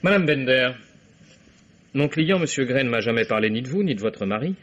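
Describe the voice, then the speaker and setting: An actor playing a courteous, sceptical defence lawyer in a vintage French radio drama, asking formal, measured questions. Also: male voice, medium pitch, animated, a bit bright. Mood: insistent. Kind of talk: 1950s French radio play acting. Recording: dull vintage radio recording.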